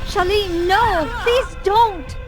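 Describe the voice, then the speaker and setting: A woman's voice: very high voice, drawn-out words, exaggerated voice. An actress playing a frightened woman pleading desperately in a video game. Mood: terrified pleading. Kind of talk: dramatic video game acting. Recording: music behind the voice.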